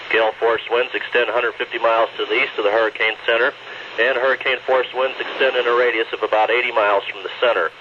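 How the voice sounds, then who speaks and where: A man reading an official weather bulletin as a radio announcer, in a formal, matter-of-fact manner. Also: medium pitch. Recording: dull noisy radio broadcast recording.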